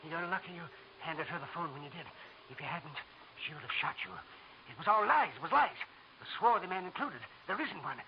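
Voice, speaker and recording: An actor playing a frantic swindling killer in 1940s radio drama, stammering and repeating himself. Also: male voice, fairly high pitch, lively intonation, fairly quick. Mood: pained, desperate. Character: wounded, frantic male killer and swindler posing as another. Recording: dull, noisy old radio recording.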